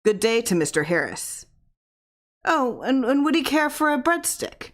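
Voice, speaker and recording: A woman's voice: high voice, sing-song, measured pace, voice pushed up. A woman voicing a sly, sinister man with formal, insinuating politeness in a horror story. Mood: slyly polite. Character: sly, glittering-eyed man, oddly courteous, sinister.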